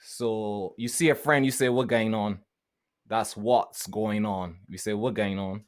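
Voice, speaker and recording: A man's voice: on the low side, fairly even intonation. Male podcast co-host, casual, explains things from home. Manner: explains slowly, repeats words while thinking. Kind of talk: casual chat.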